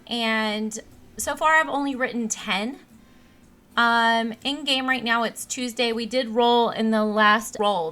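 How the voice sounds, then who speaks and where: A young woman with a naturally monotone voice, casually narrating her gameplay with plenty of ums and restarts. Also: fairly high pitch. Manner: rambling, with many ums and restarts. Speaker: let's play host. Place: home computer setup. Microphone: desk mic replacing a broken headset.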